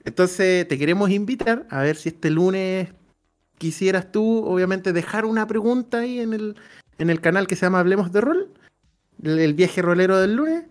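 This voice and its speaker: A man's voice: high-pitched, lively intonation, moderate pace. A man with a Chilean accent chatting casually and colloquially as co-host of a role-playing livestream. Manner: colloquial, slangy.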